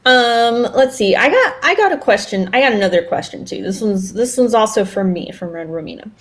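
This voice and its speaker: A woman's voice: fairly high pitch, expressive, a little fast. A woman chatting casually as a podcast co-host and putting questions to her fellow host. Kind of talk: casual chat, asking questions.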